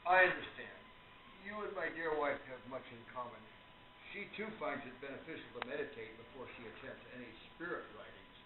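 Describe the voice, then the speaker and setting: A man playing an affable, considerate older gentleman in a radio play, courteous and a little hesitant. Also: medium pitch, expressive, brisk, close to an everyday voice. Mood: kindly, courteous. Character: affable, kindly older gentleman, devoted spiritualist writer. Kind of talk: amateur radio play acting. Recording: echoey, distant, tinny, noisy room recording.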